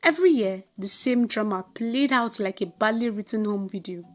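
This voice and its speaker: A woman with a Nigerian accent narrating a folktale in an expressive, theatrical, scripted storytelling style. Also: medium pitch, moderate pace.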